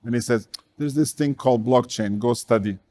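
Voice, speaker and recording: A man from Portugal, a guest in an interview, speaking in a measured way with frequent fillers. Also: mid-range voice, dark tone. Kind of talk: casual interview talk.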